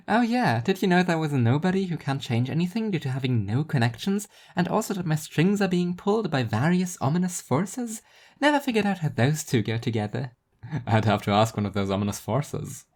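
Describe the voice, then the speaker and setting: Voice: mid-range voice, animated, a little fast, a little mellow, pitched above the performer's own voice. A performer voicing a wry, self-deprecating woman with a dry, smiling irony. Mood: exasperated, amused.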